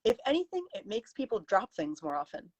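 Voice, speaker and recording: A woman's voice: medium pitch, sing-song, brisk, darkish tone, dry recording. A woman voicing a stern, outspoken Victorian lady's companion with a prim, emphatic, reproving manner in a story reading. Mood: reproving, brisk. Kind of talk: live dramatic story reading.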